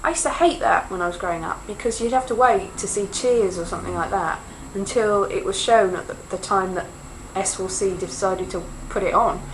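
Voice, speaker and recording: A woman from Wales talks to camera in a loose, wandering monologue, poking fun at herself with jokey asides. Her pitch sits mid-range for a woman, and a faint hiss of background noise runs under her voice. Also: a little fast, hardly any pauses, rough recording. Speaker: vlogger. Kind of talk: rambling casual monologue.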